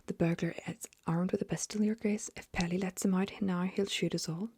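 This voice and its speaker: A woman voicing an excitable, encouraging giraffe in a breathy whisper. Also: fairly low pitch, fairly quick, no room sound, studio quality. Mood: urgent. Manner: whispered, urgent.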